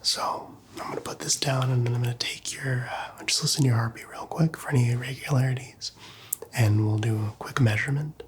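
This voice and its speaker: A man softly whispering as a calm doctor in an ASMR roleplay, talking gently and reassuringly to the listener as a patient. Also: mid-range voice, fairly quick, a little hiss or hum. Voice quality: hushed, whispery, breathy.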